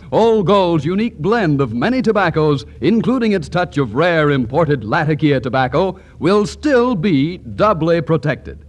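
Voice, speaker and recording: A man reading a radio commercial as an announcer in polished 1940s advertising style. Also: a little high. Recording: 1940s radio broadcast recording.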